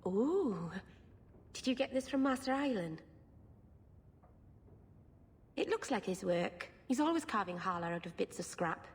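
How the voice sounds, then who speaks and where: A woman's voice: medium pitch, very animated, moderate pace, slightly rough. A woman playing an earnest, stubborn and sensitive young elf mage in a video game. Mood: soft, wistful. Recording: long hall-like reverb.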